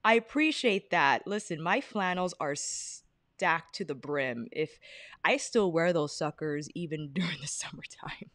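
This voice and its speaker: A young woman hosting a casual beauty livestream from her apartment, bubbly and chatty, greeting viewers by name with bursts of excitement. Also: low-pitched, a little slow, no echo, studio quality. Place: apartment living room, fan humming. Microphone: desk podcast mic in front.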